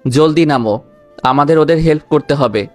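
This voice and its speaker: A man's voice: a little high, normal speed, soft background music, close to an everyday voice. A man playing a composed, capable senior security officer in an audio story. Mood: urgent.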